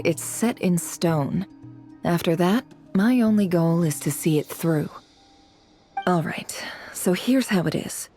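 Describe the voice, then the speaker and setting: A composed woman strategist from a fantasy game. Her voice is low and mellow, with formal, measured phrasing and cool self-assurance over faint music. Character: composed, shrewd, commanding adult woman strategist. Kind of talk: game character voice acting.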